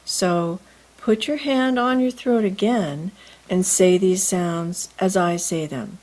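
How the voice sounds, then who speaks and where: A woman teaching English pronunciation in a scripted lesson, enunciating deliberately and carefully. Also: lowish voice, a little mellow, poor audio. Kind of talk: scripted instructional lesson with demonstrations.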